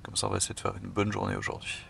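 A man's voice: fairly low pitch, racing, vocal fry. A man vlogging his solo kayak trip, talking casually to the camera outdoors by a river, thinking aloud and swearing now and then. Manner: relaxed and chatty, thinks aloud, swears casually, many fillers. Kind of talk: casual vlog narration to camera.

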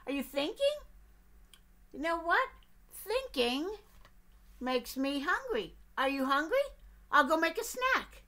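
A woman's voice: high-pitched, sing-song, slow, pauses often, pushed and bright, voice pushed up, exaggerated voice. Woman doing a chatty, eager little duck. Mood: eager chatter. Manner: bouncy, pestering, cheerful.

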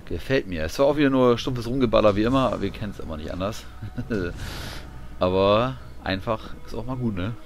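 A man's voice: on the low side, moderate pace. A man giving casual, offhand commentary while he plays a video game. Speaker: gaming streamer. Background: video game music and effects.

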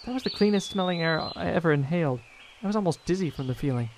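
Earnest idealistic spaceman played by a man, audio drama; lightly high male voice, faint background noise. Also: animated, quick pace. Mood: wondering. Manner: earnest, wondering.

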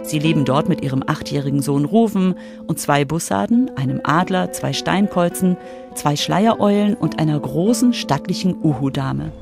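A woman's voice: low-pitched, moderate pace, vocal fry, over music. A woman reporter reading scripted narration for a children's radio feature.

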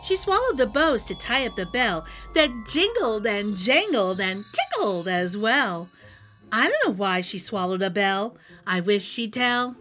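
A middle-aged woman hosting a children's read-aloud, telling a rhyming storybook in an animated, sing-song way over music. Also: medium pitch, measured pace. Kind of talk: expressive rhyming storybook narration. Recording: music behind the voice.

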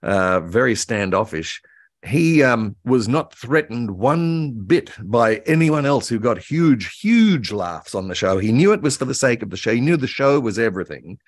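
An older man around seventy, reminiscing in an interview in a halting way, repeating words as he thinks. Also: medium pitch, animated, no room sound, studio quality. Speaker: guest expert, author and voice actor. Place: indoor room by a window, video call.

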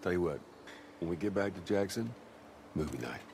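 An actor playing a dry, laconic middle-aged Texan father figure, gruff but gentle, in a naturalistic video game style. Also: low-pitched, expressive, moderate pace, background noise. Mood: gently teasing.

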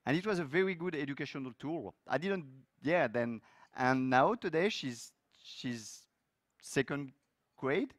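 A middle-aged man from France with a French accent, giving a technical talk on a conference stage with loose, lively phrasing and many fillers. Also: medium pitch, a little slow, dry recording, studio quality. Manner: loose, lively delivery with frequent fillers and restarts. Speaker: conference speaker. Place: large conference hall stage.

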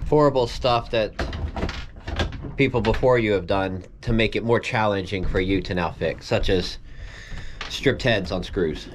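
A man in his thirties casually explaining boat repair work as he goes, easygoing and quick to laugh, filmed on an action camera inside a small boat cabin. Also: lowish voice, background noise. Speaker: boat restoration vlogger. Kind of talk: casual hands-on narration.

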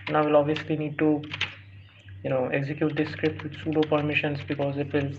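A man's voice: fairly high pitch, completely flat delivery, a little fast. Hesitant man with a dull, hissy mic. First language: not English. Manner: hesitant, many fillers and restarts, reads prompts aloud. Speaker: tutorial host. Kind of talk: screen-recorded tutorial walkthrough. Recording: dull lo-fi sound with faint hiss.

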